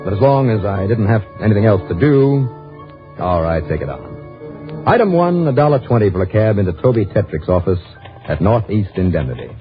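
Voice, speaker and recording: An actor playing a wisecracking, money-minded insurance investigator in a 1950s radio drama, with an American accent and a brisk, wry, slangy delivery. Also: male voice, lowish voice, animated, music in the background, lightly acted. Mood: wry narration. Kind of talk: 1950s radio detective acting with first-person narration. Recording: muffled old radio broadcast recording.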